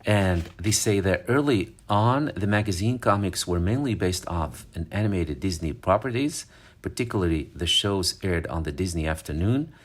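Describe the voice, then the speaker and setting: A man's voice: sits low, lively intonation, normal speed. A man from Morocco, talking casually and fondly as he leafs through an old magazine, rambling with fillers and restarts. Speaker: vlogger. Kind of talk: casual show-and-tell vlog.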